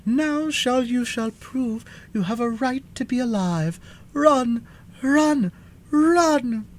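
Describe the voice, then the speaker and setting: A man voicing a calm, commanding sorceress with a soft, sweet, deliberate voice in a storybook reading. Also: high voice, voice pushed up, exaggerated voice. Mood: urgent.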